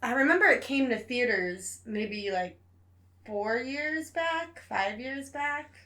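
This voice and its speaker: A woman's voice: sits high, expressive, slow pace, pushed and bright. A woman co-hosting a podcast, giving her opinions in casual conversation. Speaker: podcast co-host.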